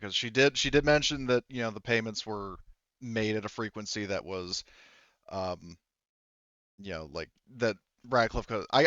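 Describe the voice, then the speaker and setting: A man's voice: on the low side, a bit bright, clear, resonant tone, no room sound, highs cut off. A man running a tabletop game as game master, narrating off the cuff and thinking aloud in a conversational way. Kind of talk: improvised tabletop game narration and casual chat.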